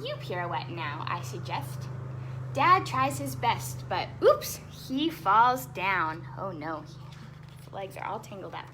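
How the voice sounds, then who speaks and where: A woman's voice: medium pitch, background noise. A woman hosting a children's storytime, reading aloud expressively with chatty asides and explaining words simply for young listeners.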